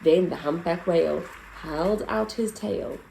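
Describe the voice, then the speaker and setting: A woman reading a rhyming picture book aloud to children in a slow, sing-song way. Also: fairly low pitch, gravelly, warm tone, a little hiss or hum, poor audio. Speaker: children's story reader.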